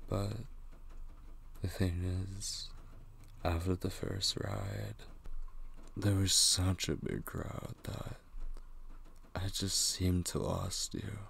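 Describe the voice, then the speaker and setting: A man acts out a drowsy, needy boyfriend shaken by a nightmare in an improvised ASMR roleplay. The voice is low and heavy with creaky fry. He murmurs intimately and haltingly, with very long, slow pacing. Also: fairly bright tone, slight background noise, full character voice. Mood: shaken, confiding.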